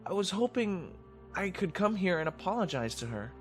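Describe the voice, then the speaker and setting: A young male cartoon pony, as a voice actor plays him, polite and apologetic. The delivery is hesitant and courteous, with soft music behind it. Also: mid-range voice, big pitch swings, normal speed, natural voice. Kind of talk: animated fan drama dialogue.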